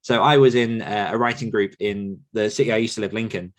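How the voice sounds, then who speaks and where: A man's voice: fairly low pitch, steady tone, fairly quick. A man hosting a casual podcast interview, talking in an encouraging, conversational way. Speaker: podcast host and writing coach.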